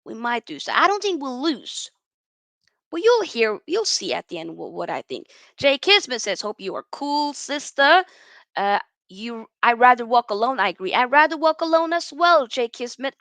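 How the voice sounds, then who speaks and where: A woman in her twenties from Norway, hosting a live football chat stream and reading out viewer messages in a lively, chatty way full of slang and restarts. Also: on the high side, moderate pace, no echo. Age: 20s. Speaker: football channel host.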